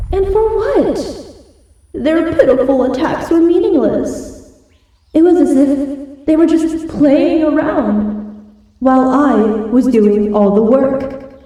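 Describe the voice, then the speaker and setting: A woman playing a proud, feral but soft-hearted giant wolf girl in an ASMR roleplay, with breathy, dramatic pauses. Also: high-pitched. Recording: very echoey, distant from the mic.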